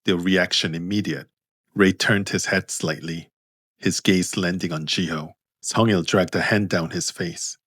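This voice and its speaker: A man narrating scripted fiction in measured, deliberate phrases. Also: low voice, fairly even intonation, a bit bright, slightly rough, no room sound, clean studio sound. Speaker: narrator, author reading own story.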